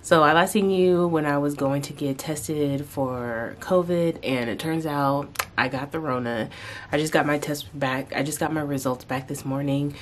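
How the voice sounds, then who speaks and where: Low-voiced young woman rambling casually. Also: normal speed, slight background noise. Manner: rambling, relaxed, lots of "like" and "um". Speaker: vlogger. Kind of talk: casual chat to camera. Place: bedroom at home, indoors. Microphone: camera close in front of her face.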